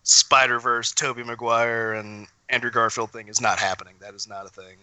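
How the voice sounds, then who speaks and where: Male podcast panelist, crisp and very forward tone, informal conversational delivery. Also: mid-range voice, flat delivery, moderate pace, pushed and bright, dry recording, poor audio. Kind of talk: casual pop-culture chat.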